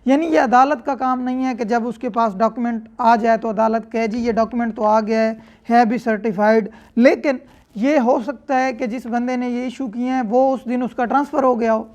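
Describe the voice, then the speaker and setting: A woman's voice: on the high side, steady tone, moderate pace, a little mellow, muffled recording. A woman with a Pakistani accent lecturing, reading out formal clauses and explaining them in a didactic way, rephrasing each point. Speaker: law lecturer.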